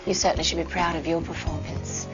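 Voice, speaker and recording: An actress playing a stern, sardonic female head of an animal hospital in a video game, with theatrical, drawn-out emphasis. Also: sits low, flat delivery, close to an everyday voice. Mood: formal approval. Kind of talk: scripted video game cutscene acting. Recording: dull lo-fi game audio, loud music.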